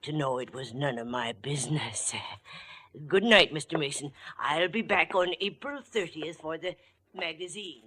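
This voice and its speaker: A man's voice: a little high, normal speed, bright, forceful tone. An actor playing a timid, fussy older man, hesitant and over-polite, in 1940s radio style. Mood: guarded, polite. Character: timid, fussy little old man, excitable and apologetic.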